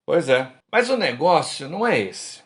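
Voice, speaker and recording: A man's voice: a little high, big pitch swings, measured pace. A man with a Brazilian accent playing a tipsy middle-aged friend, talking colloquially and frankly in a radio drama. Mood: regretful. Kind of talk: radio drama acting, casual bar chat.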